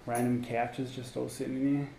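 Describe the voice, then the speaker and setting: A man's voice: mid-range voice, level tone, normal speed, creaky, dark tone. A man giving casual running commentary as he explores an abandoned building, remarking on everything he finds. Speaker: urban explorer vlogger. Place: abandoned indoor campus building. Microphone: head-mounted action camera. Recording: noisy handheld camera audio.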